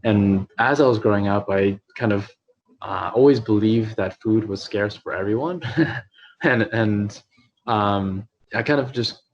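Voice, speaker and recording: A man's voice: lowish voice, a little slow, highs cut off. A man who runs a nonprofit, speaking from his apartment in a hesitant way with many fillers and restarts. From New York. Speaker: nonprofit CEO. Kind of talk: video call interview.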